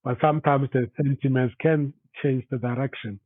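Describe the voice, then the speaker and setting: Male trainer, mid-pitched and a bit rough, halting informal delivery, narrow telephone-grade audio. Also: steady tone, no echo. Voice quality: slightly rough voice from recent flu. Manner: halting, repeats words. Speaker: instructor leading an online training session. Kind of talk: informal lecture with questions to participants. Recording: thin phone-like call audio.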